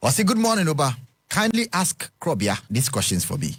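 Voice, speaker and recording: A man's voice: on the high side, wildly swooping pitch, normal speed, slightly rough, no echo. A man with a Ghanaian accent hosting a radio show, reading listener text messages on air and mixing English with Twi. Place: radio studio.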